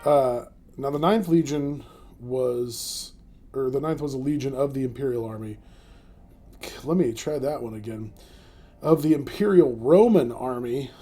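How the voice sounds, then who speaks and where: A man's voice: medium pitch, a little slow, warm tone, soft background music. A man hosting a casual comedy podcast, joking around with many fillers and frequent laughs. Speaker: podcast host.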